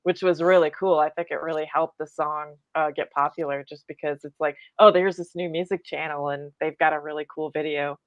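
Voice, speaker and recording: A woman's voice: low voice, steady tone, brisk, few pauses, no room sound, highs cut off. A middle-aged woman chatting as a podcast panelist, reminiscing casually with a lot of "like"s. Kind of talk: casual chat.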